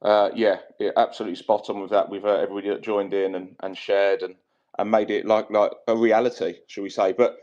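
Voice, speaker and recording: Man, host, low voice, hesitant with fillers, dull lo-fi audio. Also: flat delivery, fairly quick, darkish tone. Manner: hesitant, many fillers and repeats. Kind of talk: casual online audio chat. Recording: dull lo-fi sound.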